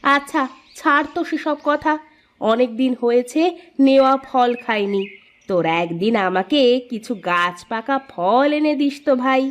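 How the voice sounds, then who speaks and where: A woman's voice: high-pitched, unhurried. A woman voicing a kindly, teasing middle-aged village aunt in a cartoon. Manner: warm, teasing, motherly. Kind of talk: cartoon voice acting.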